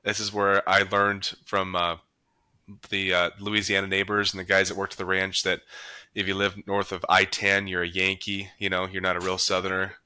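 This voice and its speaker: Bright, forceful American man, podcast host. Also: deep voice, completely flat delivery, normal speed, clear, resonant tone, dry recording. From South Dakota, US. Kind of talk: casual chat.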